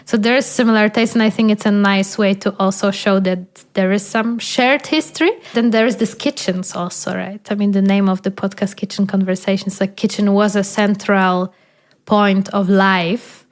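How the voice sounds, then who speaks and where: A woman's voice: medium pitch, fairly even intonation, normal speed. A young woman from Poland whose native language is Polish, hosting a podcast and talking thoughtfully, searching for words with many fillers. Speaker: podcast host. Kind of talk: casual conversation.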